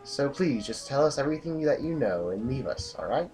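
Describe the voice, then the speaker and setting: An actor playing a stern, disillusioned military general in an audio drama, with a blunt, brooding, commanding manner. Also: male voice, mid-range voice, sing-song, brisk, darkish tone, lightly acted. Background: music.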